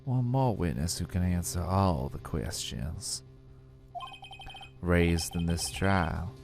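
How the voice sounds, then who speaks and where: A cool, collected young prosecutor who speaks in a low, unhurried voice, as a man plays him. His words are deliberate and measured. Faint music sits behind him. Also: lively intonation, creaky, darkish tone, full character voice. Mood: composed. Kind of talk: fan voice acting of a game.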